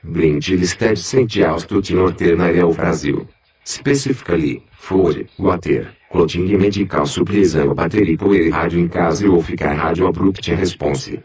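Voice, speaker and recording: A solemn male emergency announcer acted by a man reads a scripted alert. His voice is deep and husky. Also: moderate pace, darkish tone.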